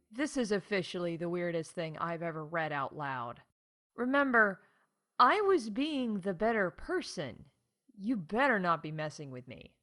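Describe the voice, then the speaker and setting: A woman plays a snarky female announcer at a space theme park in a comedic audio drama. She has a medium-pitched voice and a chatty delivery. Scripted updates keep sliding into candid, sarcastic asides. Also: fairly bright tone. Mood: wry, distracted. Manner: wry, sarcastic, breaking off into asides.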